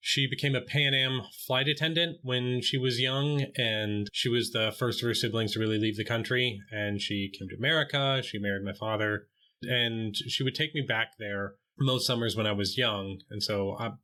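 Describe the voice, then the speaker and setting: A man chatting as a podcast guest, telling a story in a relaxed, chatty way with fillers and asides. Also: mid-range voice, moderate pace. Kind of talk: casual conversational interview.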